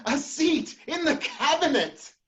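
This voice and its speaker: Dignified statesman husband, played by a man, formal stage diction, high pitch with wide swings, dull lo-fi sound. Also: expressive, takes it slowly, a bit bright, heavily acted. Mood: resolute. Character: earnest, dignified married gentleman politician. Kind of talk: theatrical dramatic reading of a period comedy.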